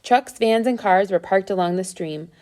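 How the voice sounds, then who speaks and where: A woman's voice: mid-range voice, normal speed, darkish tone. A woman reading a children's adventure story aloud as scripted narration. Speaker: storybook reader.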